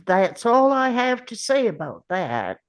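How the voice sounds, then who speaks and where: A woman playing a slow, simple, earnest young Southern soldier man in a script table read, speaking plainly. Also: medium pitch, very animated. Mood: matter-of-fact.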